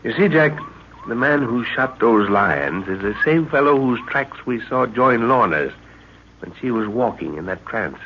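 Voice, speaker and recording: An avuncular older professor and expedition leader in a vintage radio serial. His pitch moves in wide, animated swings with musing pauses, on a dull, noisy recording. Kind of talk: old-time radio drama acting.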